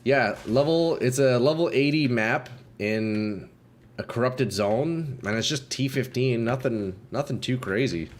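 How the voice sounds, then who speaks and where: A young man doing casual live commentary while playing a game, a bit congested and clearing his throat often, swearing and talking to chat. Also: mid-range voice, a little slow. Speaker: game streamer.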